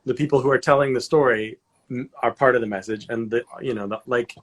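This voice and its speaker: Halting man interviewer, mid-range voice. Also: mid-range voice, fairly even intonation. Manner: halting, rephrasing as he goes. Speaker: interviewer, host. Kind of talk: casual interview conversation.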